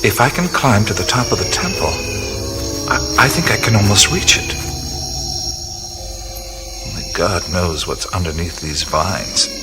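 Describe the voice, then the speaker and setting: Radio play lead: an awestruck male adventurer, unhurried and hesitant, with widely swinging pitch. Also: huge pitch swings. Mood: curious wonder. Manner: slow, halting, musing, often dropping to breathy whisper. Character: awestruck male adventurer exploring mysterious ruins, wondering and hesitant. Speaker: lead actor in an audio drama. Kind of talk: radio drama acting, first-person narration of discoveries. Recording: music bed behind the voice. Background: music.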